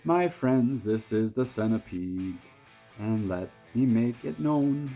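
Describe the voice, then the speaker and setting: A man's voice: medium pitch, expressive, takes it slowly, warm tone, soft background music. A man voicing an eager, cheerful small boy who reads out rhyming verse in a sing-song way.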